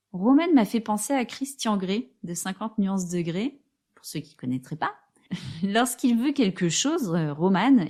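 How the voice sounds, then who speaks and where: A woman hosting a book podcast, asking prepared interview questions and reading a book summary. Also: medium pitch. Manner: steady, reads prepared questions. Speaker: podcast host.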